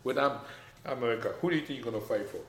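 A man with a French accent talking in a casual conversation, emphatic and animated, his voice rising when he makes a point. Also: mid-range voice, racing. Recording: echoey room, faint background noise.

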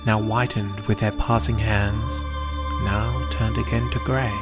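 A man reads in a solemn, measured way, with a low voice and very slow delivery. His words are deliberate, like a poetry recitation. Calm new-age music plays clearly behind him. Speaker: poetry podcast reader. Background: prominent calm new-age music.

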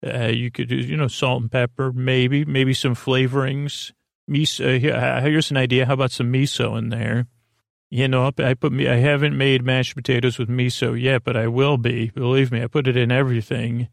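Gravelly, creaky man rambling along. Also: mid-range voice, flat delivery, dry recording, clean studio sound. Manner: meandering, halting, circling back and trailing off. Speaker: podcast host. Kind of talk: rambling improvised bedtime monologue.

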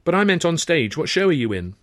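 Man putting on a high, chattering cheeky chorus girl. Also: big pitch swings, quick pace, no room sound, studio quality. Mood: mock outrage. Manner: chatty, theatrical, teasing exclamations.

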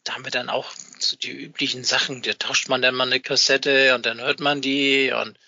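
A middle-aged man chatting casually as an interview guest, haltingly searching for words and rambling a little. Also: mid-range voice, level tone, creaky, pushed and bright, dry recording. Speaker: podcast guest, fan club founder. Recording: dull, dry, band-limited remote connection.

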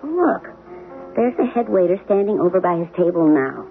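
Blunt, sassy wife, tinny radio. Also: female voice, on the low side, big pitch swings, normal speed, faint music behind. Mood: matter-of-fact. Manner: blunt, teasing, matter-of-fact. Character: sassy, skeptical, down-to-earth professor's wife. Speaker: radio drama actor. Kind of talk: 1970s radio drama acting. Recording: narrow-band, tinny 1970s radio broadcast.

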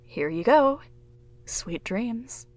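An actress playing a polished shop clerk who sells devices with practiced cheer. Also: female voice, on the high side, animated, drawn-out words, darkish tone. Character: smooth female shop clerk selling devices. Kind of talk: audio drama acting.

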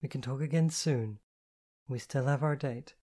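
A narrator voicing a sleepy, kind woman who reassures and gently takes command in an audiobook story. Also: mid-range voice, normal speed, natural voice. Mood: reassuring, commanding. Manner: drowsy, reassuring, evenly commanding.

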